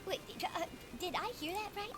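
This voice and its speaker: A woman's voice: very high-pitched, fairly quick, over music. A woman voicing a dutiful, flustered small fey court clerk in a game. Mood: fretful. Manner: polite, flustered. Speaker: court assistant.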